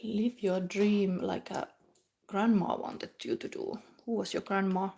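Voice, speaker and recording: A woman's voice: medium pitch, fairly even intonation, measured pace, vocal fry, dark tone. A woman from Finland with a Finnish accent, speaking non-native English in a rambling, word-searching way as she gives a casual psychic tarot reading to viewers.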